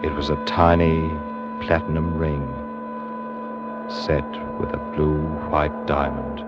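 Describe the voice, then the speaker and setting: A man's voice: rumbling low, flat delivery, slow pace. An actor playing an earnest young seaman, telling his tale with dramatic intensity in a 1940s radio drama. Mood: somber. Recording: muffled old radio recording, loud music.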